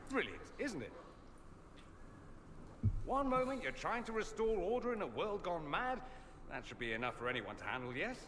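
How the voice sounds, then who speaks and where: Flamboyant, witty mage, very animated. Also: male voice, high voice, normal speed, long pauses, big-room sound, background noise. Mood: wry, playful. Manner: theatrical, sardonic, arch. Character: witty, flamboyant, self-assured male mage from a fantasy empire. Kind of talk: video game character acting.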